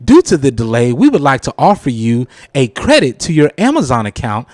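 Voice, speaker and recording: A man's voice: medium pitch, huge pitch swings, a little fast, darkish tone, dry recording. A man hosting a casual live talk radio show, speaking informally with slang and easy chuckles.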